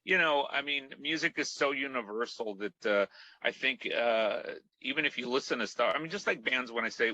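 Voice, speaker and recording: A man's voice: fairly low pitch, sharp tone, dry recording, poor audio. A man from Mexico, a Spanish speaker, chatting loosely as an interview guest with many fillers and restarts. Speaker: guest musician. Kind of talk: casual interview chat.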